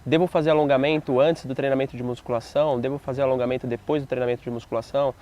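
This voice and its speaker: A man's voice: mid-range voice, fairly even intonation, very fast, dark tone. A man working as a fitness instructor, explaining a training question to viewers and checking in with them often. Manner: didactic, checks in with "legal?". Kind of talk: explainer to camera.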